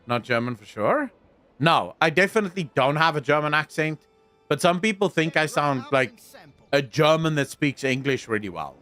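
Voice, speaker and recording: A man's voice: fairly high pitch, animated, normal speed, fairly bright tone. Chatty South African man, faint music. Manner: chatty, swears casually, reads and answers chat. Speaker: streamer. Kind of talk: casual gameplay livestream chat.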